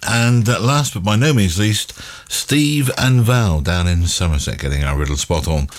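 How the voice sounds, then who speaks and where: A man's voice: fairly low pitch, normal speed. A man hosting a morning radio show, chatting with listeners and greeting them by name. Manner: warm banter with listeners, chuckling asides. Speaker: radio breakfast host.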